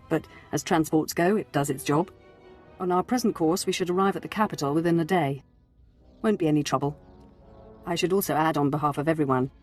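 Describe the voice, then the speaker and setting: A dutiful imperial lieutenant from a space game, acted by a man. He is formal, courteous and brisk, with music playing behind him. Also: fairly high pitch, close to an everyday voice.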